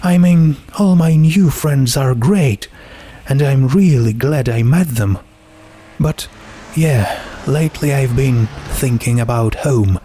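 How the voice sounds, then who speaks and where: A man's voice: medium pitch, takes it slowly, mellow, husky, pitched above the performer's own voice. A man voicing a friendly, homesick teenage girl in a storybook, talking in a casual, chatty way.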